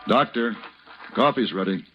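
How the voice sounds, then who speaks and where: An actor playing a cool, wry western gunman in an old-time radio drama, laconic and measured. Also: lowish voice, lively intonation, over music. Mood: steady. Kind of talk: old-time radio western acting and first-person narration. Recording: dull, noisy old radio recording.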